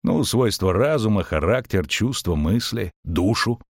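A man voicing a calm, laconic monster hunter who explains things in a muttering, matter-of-fact way in an audiobook. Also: lowish voice, a little slow, no echo, studio quality. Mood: gruff, matter-of-fact. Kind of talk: audiobook character acting.

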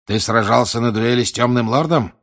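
A man voicing a grim older wizard in an audiobook, questioning in an insistent, deliberate and menacing way. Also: mid-range voice, a bit bright, pitched above the performer's own voice. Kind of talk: audiobook character acting.

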